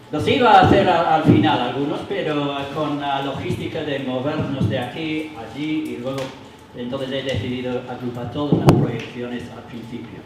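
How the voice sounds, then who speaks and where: A man with a foreign accent, not a native speaker, leading a church workshop for adults, halting with many fillers and restarts. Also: medium pitch. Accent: foreign accent in Spanish. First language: not Spanish. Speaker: workshop leader. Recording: roomy hall, mic from far, noisy.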